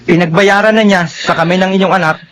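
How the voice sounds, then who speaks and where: Radio horror: respectful Filipino worker, fairly high voice, halting and grim, explaining dark secrets. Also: male voice, steady tone. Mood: grave, confiding. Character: deferential male employee grimly confessing his boss's crimes. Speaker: radio drama actor.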